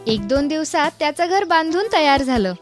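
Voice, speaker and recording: A female storyteller reads a children's tale in a high voice. It is scripted narration, with soft music playing behind her. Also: lively intonation, moderate pace. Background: background music.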